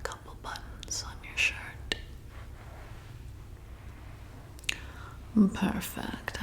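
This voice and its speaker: A woman acting as a flirty female vampire in an ASMR roleplay, whispering very slowly with a faint creak. She is soothing yet commanding, coaxing her prey deliberately into obedience. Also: on the high side. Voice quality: soft breathy whisper.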